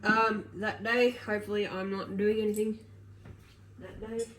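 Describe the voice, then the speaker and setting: A woman's voice: medium pitch, flat delivery, unhurried, distant mic. A woman with a nasal voice chatting casually through a get-ready-with-me vlog, rambling with lots of fillers and self-corrections as she moves around her home. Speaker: vlogger. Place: home kitchen and rooms, indoors. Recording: echoey room, voice off-mic, household noise.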